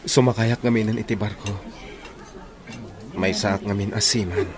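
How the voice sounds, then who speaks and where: A man's voice: lowish voice, fairly even intonation, moderate pace, a crowd in the background, noisy background, natural voice. A man playing an earnest, reassuring young seaman in a radio drama, with an Ilocano Filipino accent. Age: young adult. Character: earnest young seaman, devoted son and suitor.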